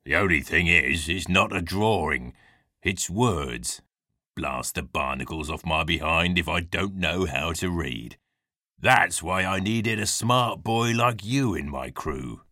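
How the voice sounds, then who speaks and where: Storybook pirate captain, deep voice, unhurried, West Country pirate accent, growly, hearty, theatrical. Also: male voice, bright, forceful tone, no room sound, studio quality, heavily acted. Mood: excited, hearty. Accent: stage West Country pirate. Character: gruff hearty old-salt pirate captain, a scheming grown man. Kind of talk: acted children's storybook dialogue.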